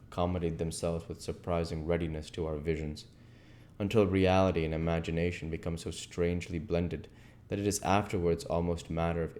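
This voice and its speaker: A man reading aloud as host, in expressive literary narration with measured, dramatic phrasing. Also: low-pitched. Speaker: reader and host of an audiobook channel.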